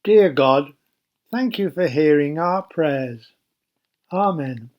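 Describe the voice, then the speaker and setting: A man narrating a Bible story for children as a storyteller, in a simple, measured, explanatory way. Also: on the high side, animated, highs cut off.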